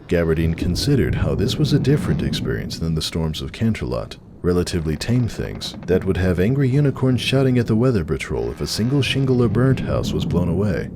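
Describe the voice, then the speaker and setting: A man narrates a story in a dramatic reading style. His voice is very deep, with lively, wide-ranging intonation. Clear ambient noise runs behind him.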